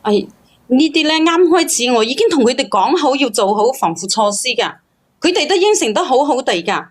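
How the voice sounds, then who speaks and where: Casting: high-pitched female caller, halting confessional delivery, defensive and prone to stammer. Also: very animated. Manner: hesitant, stammering, self-justifying. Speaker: caller confiding in host. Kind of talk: confessional phone-in chat.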